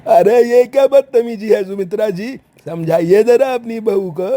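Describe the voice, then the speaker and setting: A fussy, disapproving family elder in a storybook drama, acted by a man. His voice is high for a man and drawn out at a slow pace. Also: dark tone.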